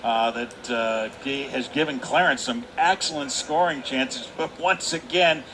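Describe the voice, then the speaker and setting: A man's voice: medium pitch, measured pace. A man giving live colour commentary on a hockey game, explaining the play in a conversational way. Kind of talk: live sports analysis. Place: indoor ice hockey arena. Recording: faint arena noise behind the voice.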